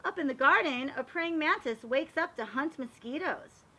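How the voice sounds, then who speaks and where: A woman reading a picture book aloud to young children, animated and expressive, pausing to explain and ask questions. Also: sits high. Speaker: read-aloud storyteller for children.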